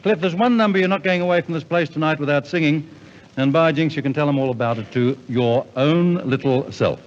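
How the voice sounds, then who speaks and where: A man's voice: a little high, fairly quick. A man working as a radio show compere, giving a live introduction on stage. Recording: dull noisy 1950s radio recording, large hall.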